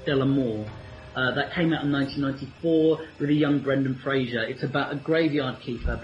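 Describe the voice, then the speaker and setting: Enthusiastic British man, lo-fi podcast sound. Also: on the high side. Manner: enthusiastic, frequent ums. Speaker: co-host. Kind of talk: casual podcast chat.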